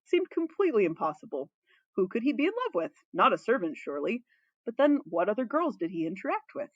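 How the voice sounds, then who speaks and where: Woman reading fanfic out loud, playful n super animated pitch, kinda muffled lo-fi mic. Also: fast, dry recording. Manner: expressive, playful, informal. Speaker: amateur fan fiction reader. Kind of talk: storybook narration with casual asides.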